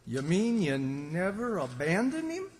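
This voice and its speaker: A man playing a gullible, excitable country sidekick with a folksy, bewildered manner in an old-time radio comedy. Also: sits high, a little slow, heavily acted. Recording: reverberant hall stage recording.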